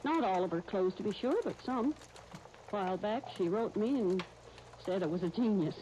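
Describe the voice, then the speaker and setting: A woman's voice: mid-range voice, animated, normal speed, warm tone, natural voice. A woman playing a blunt, proud but kind-hearted spinster dressmaker, no longer young, in a 1930s radio soap. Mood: brisk, proud. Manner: blunt, crusty, wry, opinionated. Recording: faintly noisy vintage 1930s radio recording.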